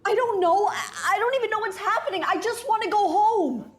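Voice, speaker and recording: A woman playing a wary, sharp-tongued space investigator in an audio drama, with a skeptical, plain-spoken manner. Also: extremely high pitch, brisk. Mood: alarmed.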